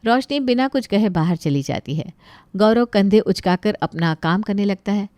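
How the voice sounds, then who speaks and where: Casting: female narrator for moral tales, expressive storytelling, mid-pitched, very dry sound. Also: normal speed, no room sound.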